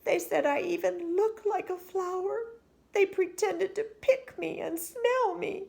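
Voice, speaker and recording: A woman's voice: extremely high pitch, a little slow, gravelly, a little mellow, voice pushed up, heavily acted. A woman voicing an unhappy, sensitive little schoolgirl in a storybook, with a plaintive manner. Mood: hurt.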